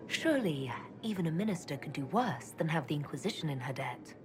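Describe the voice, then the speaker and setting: Lowish-voiced, composed heroine. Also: female voice, expressive, brisk, soft background music. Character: composed female elf mage leader, diplomatic and firm. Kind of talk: video game cutscene acting.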